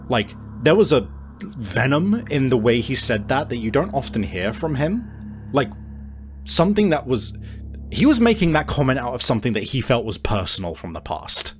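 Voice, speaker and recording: A man running a tabletop game as game master, narrating casually in an informal, halting way with the odd swear word. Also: mid-range voice.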